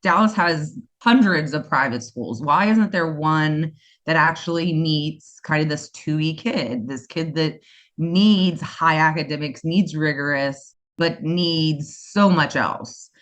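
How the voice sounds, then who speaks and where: Chatty East Coast woman, lower voice. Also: takes it slowly, muffled recording. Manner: talkative, enthusiastic, rambling. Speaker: guest, school co-founder. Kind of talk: casual interview conversation.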